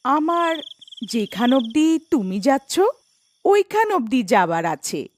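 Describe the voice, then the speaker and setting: Lady putting on a cartoon witch woman, really high voice, pushy n menacing, swoopy. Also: sing-song, takes it slowly, a little hiss or hum, full character voice. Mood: insistent, coy. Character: seductive, menacing female witch posing as beautiful passenger. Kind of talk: cartoon horror-comedy character voice.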